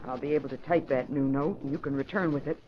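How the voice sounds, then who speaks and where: A woman's voice: extremely low pitch, fast, a little hiss or hum. A woman acting a crook's anxious lover and accomplice in an old radio crime drama, nervous and faltering. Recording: muffled old radio recording.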